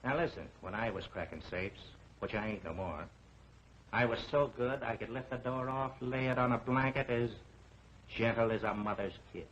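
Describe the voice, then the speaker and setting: A man's voice: medium pitch, normal speed, fairly bright tone, lightly acted. An actor playing a proud, aggrieved tough-guy crook with slangy gangster talk in an old crime film. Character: aggrieved, proud tough-guy safecracker gunman gone straight. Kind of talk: 1930s film acting. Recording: muffled, hissy old film soundtrack.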